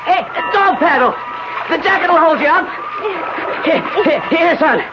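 A man's voice: extremely high pitch, animated, over loud music. An actor playing an anxious, earnest father of a young boy in a 1970s radio drama. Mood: urgent. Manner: earnest, halting when flustered. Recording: muffled, hissy old radio recording.

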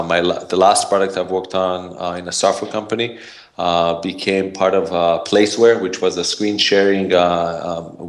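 A man from Israel with an Israeli accent, a podcast guest telling his story in a rambling way, full of fillers and restarts. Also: low-pitched. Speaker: podcast guest, startup founder. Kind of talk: casual interview talk.

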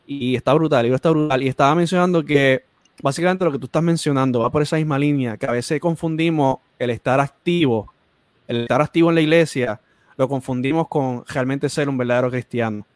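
A man's voice: medium pitch, a little fast, dry recording. Preachy Spanish-speaking man host. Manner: preachy, repeats phrases for emphasis.

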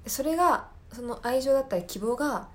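A woman hosting a podcast in a reflective solo talk, speaking earnestly and often pausing to search for her words. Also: high voice, animated. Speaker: podcast host. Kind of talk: reflective solo talk, personal film commentary.

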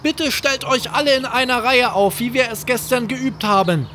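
Man as a high-pitched, rallying woman schoolteacher. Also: moderate pace, slight background noise. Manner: calling out brightly, commanding. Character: lively woman schoolteacher rallying young children.

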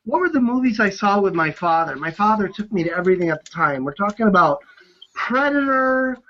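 High-voiced man, passionate film fanboy. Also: sing-song, a little fast, sharp tone, highs cut off. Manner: passionate, rambling fanboy enthusiasm. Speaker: podcast panelist on films. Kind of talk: casual film discussion.

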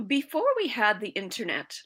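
Woman teacher, from South Africa, bright tone, earnest, explanatory. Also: mid-range voice, big pitch swings, normal speed, breathy edge. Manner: earnest, explanatory, many "you know" fillers. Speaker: teacher, interview guest. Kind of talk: conversational interview answers.

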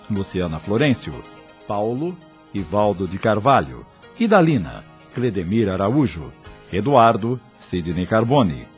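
A Brazilian man narrating a radio drama as announcer, in a formal, theatrical scripted delivery. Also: on the low side.

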